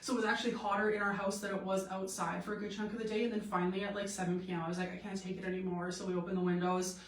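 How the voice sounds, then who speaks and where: A woman's voice: mid-range voice, little pitch movement, racing. Stuffy-nosed chatty woman host. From Canada. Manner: chatty, rambling with many fillers. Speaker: boutique live-stream host. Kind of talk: casual live-stream try-on chat. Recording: echoey room, mic at a distance.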